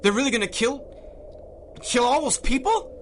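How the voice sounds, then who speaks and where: High-voiced nervous small-time gangster. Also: male voice, big pitch swings, normal speed, slight background noise. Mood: frightened. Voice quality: stammering. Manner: slangy tough-guy talk, easily rattled. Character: nervous, whining low-level male gangster with a Tommy gun. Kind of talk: old-time radio drama acting.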